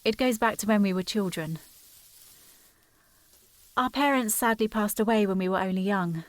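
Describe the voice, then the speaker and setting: A woman playing a determined, formal young gentlewoman worried about her sister in a period audio drama. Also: medium pitch, moderate pace, dry recording, lightly acted. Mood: wistful, confiding. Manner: formal, precise, insistent.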